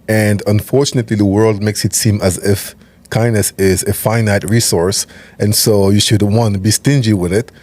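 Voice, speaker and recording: Calm man, lowish voice. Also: moderate pace. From Cameroon. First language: French. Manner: calm, methodical, explains step by step. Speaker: guest, nonprofit CEO. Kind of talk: interview conversation.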